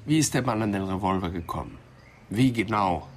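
An actor playing a sardonic, skeptical police detective who needles people, in a German radio crime drama. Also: male voice, deep voice, animated, fairly quick, a little hiss or hum. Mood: probing.

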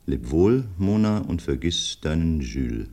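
An actor playing a sardonic, erudite doctor in a German radio crime drama, courteous, ironic and theatrical. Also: male voice, rumbling low, very animated, unhurried, darkish tone, full character voice. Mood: reading solemnly. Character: sardonic, erudite male doctor needling the police.

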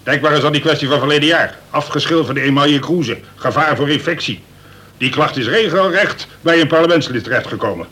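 An actor playing a worried, blustering prison governor, a cautious and officious colonel, in a Dutch radio play. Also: male voice, on the high side, a little fast, sharp tone, slightly rough. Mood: dry, confiding. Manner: exasperated, swearing, officious.

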